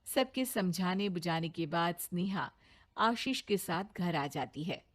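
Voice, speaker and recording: A woman's voice: lowish voice, animated, a little slow, heavy background noise. A woman narrating a scripted cartoon story in a storytelling style.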